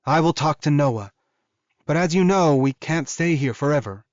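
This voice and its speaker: Man voicing an eager young she-wolf, raised pitch. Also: animated, moderate pace, dry recording, dull audio, natural voice. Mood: concerned. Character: eager, devoted young female werewolf packmate. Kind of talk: audiobook character dialogue.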